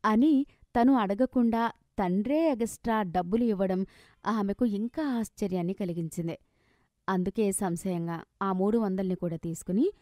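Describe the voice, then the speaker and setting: A woman's voice: mid-range voice, warm tone, no echo, clean studio sound. A woman narrator reading a scripted moral story.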